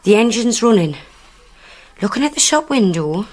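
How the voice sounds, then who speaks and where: An actress playing Donna, a young working-class woman, haltingly recalling a night she witnessed. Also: on the high side, sing-song. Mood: dreamy recall. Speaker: witness under recall questioning. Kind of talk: radio drama acting.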